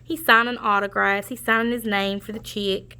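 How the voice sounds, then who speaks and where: A woman reading a children's story aloud, pausing to explain things to young listeners. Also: medium pitch, level tone, pushed and bright, clear, resonant tone. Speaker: storybook reader. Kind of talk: children's read-aloud narration.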